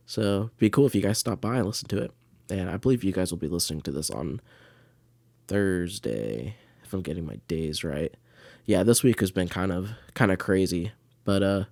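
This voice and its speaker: Low-voiced man rambling casually, dry sound. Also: a little mellow, no room sound. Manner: rambling, hesitant. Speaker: podcast host. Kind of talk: casual solo livestream chat.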